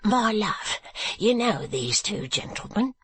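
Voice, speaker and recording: Reader doing a nervous stationer, high and fluttery, stammering, pleading, husky edge, dry close sound. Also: sing-song, slow pace, dry recording, heavily acted. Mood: flustered pleading. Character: meek, nervous middle-aged male stationer.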